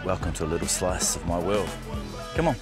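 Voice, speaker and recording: A man's voice: deep voice, lively intonation, brisk. A male host presenting a travel show outdoors, upbeat and full of playful asides. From New Zealand. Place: outdoors, riding around a village. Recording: loud music bed under voice.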